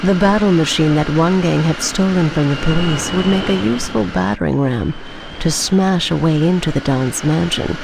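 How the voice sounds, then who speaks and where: An actor narrating a video game hunt story in a measured, ominous and formal way. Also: mid-range voice, gravelly, natural voice. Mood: solemn, ominous. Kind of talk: scripted dramatic narration. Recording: noisy video game cutscene audio.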